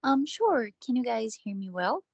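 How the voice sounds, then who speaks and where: A woman's voice: fairly high pitch, big pitch swings, normal speed, creaky, a little mellow, slightly rough, dry recording, lo-fi sound. A young Brazilian woman on a video call, speaking hesitantly with many fillers. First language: Portuguese. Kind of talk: casual conversation on a video call.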